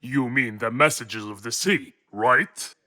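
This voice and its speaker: A man's voice: mid-range voice, takes it slowly, bright, forceful tone. A man voicing a sly, scheming cartoon villain who feigns friendliness in a condescending, calculating way. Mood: sly, curious. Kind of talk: children's cartoon dubbing.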